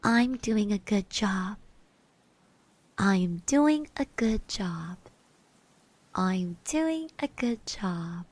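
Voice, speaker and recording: Woman playing a slow, soft-spoken shy schoolgirl classmate. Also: mid-range voice, expressive, no echo. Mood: gentle, soothing. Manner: hesitant, bashful, gently encouraging. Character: shy, nervous, caring schoolgirl classmate cheering you on. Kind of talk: soft ASMR roleplay, partly whispered.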